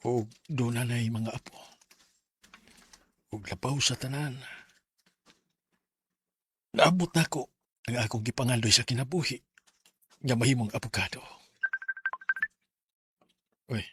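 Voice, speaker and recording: Bisaya radio drama lead: older attorney, Cebuano accent, sentimental, expressive, bright tone. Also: male voice, mid-range voice, a little slow, long pauses, breathy edge. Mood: reflective. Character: Teresito, sentimental older male lawyer looking back on heartbreak.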